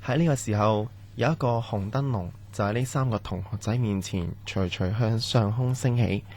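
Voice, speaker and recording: A man's voice: on the low side, normal speed, darkish tone, a little hiss or hum, natural voice. A man acting as the grave narrator of an eerie Cantonese radio drama, reading a scripted tale. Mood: grave, ominous. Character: grave male narrator of ghost tales.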